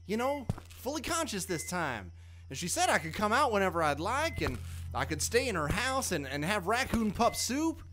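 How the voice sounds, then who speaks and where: A man's voice: high-pitched, big pitch swings, moderate pace, a bit bright. A man playing a simple, loyal, worried working-class man in an audio drama, plain-spoken and folksy. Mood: eager, hopeful.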